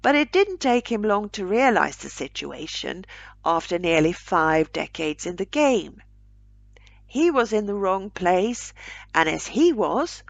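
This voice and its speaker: A woman narrating a short story aloud in a measured, deliberate way. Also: mid-range voice, gravelly, no room sound. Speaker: author reading own short story aloud.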